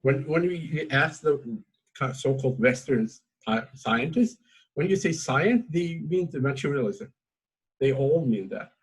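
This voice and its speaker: A man whose native tongue is Azeri, speaking English with a slightly husky, breathy tone. He feels his way through each thought, stopping and restarting often. Relaxed, informal academic conversation. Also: fairly high pitch, measured pace, rough recording. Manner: hesitant, many fillers and restarts. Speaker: academic host.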